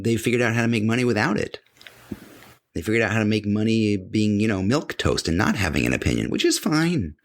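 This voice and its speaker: A middle-aged man hosting a podcast, giving an emphatic motivational talk that repeats phrases for weight and acts out the voices of imagined people. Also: on the low side. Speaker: podcast host. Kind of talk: motivational monologue.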